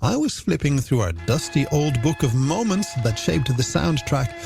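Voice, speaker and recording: A man's voice: on the high side, sing-song, moderate pace, vocal fry. An Irish man presenting a late-night radio music show in a playful, theatrical, scripted way with flowery phrasing.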